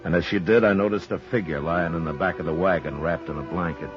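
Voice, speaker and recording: An American actor playing a stoic, world-weary frontier marshal in a 1950s radio western, speaking in a measured, laconic way. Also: male voice, deep voice, steady tone, close to an everyday voice. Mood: somber narration. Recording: dull old radio recording, faint music.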